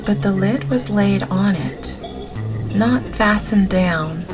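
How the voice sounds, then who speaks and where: A woman reading an audiobook in a gentle, soft, measured and dramatic way. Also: fairly low pitch. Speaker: audiobook narrator. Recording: music behind the reading. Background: music, storm ambience of rain and thunder.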